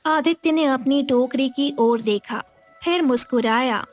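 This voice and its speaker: A woman's voice: high-pitched, measured pace, soft background music. A woman narrating a scripted moral tale as a storyteller.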